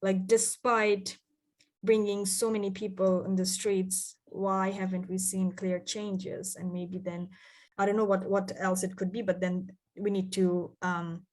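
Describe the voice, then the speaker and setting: A woman's voice: mid-range voice, little pitch movement, unhurried, darkish tone. A young woman from Bangladesh, a climate activist, answering interview questions thoughtfully with many fillers and restarts.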